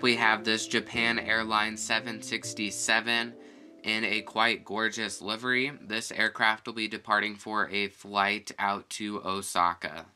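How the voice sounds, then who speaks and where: A man who is feeling a bit sick, enthusiastically narrating a walkthrough of his model airport and listing each aircraft. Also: lowish voice. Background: guitar music.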